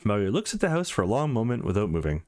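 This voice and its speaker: Very animated, lowish-voiced man narrating a game. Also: rapid-fire. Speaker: let's player reading a visual novel aloud. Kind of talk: dramatic reading with casual gaming commentary.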